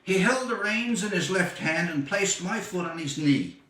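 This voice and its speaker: A man reads a short story in a fairly high, bright voice that rises and falls dramatically. He takes his time, leaning on phrases with deliberate pauses. The sound is roomy and echoing. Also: a little hiss or hum. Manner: measured, deliberate phrasing with expressive emphasis. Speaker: author reading own short story. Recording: echoey room, distant microphone.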